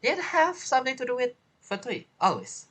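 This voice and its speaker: A man's voice: very high voice, huge pitch swings, normal speed, a bit bright, breathy edge. A man giving casual let's-play commentary, reading game text aloud and repeating himself as he goes. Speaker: gaming streamer. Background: game music.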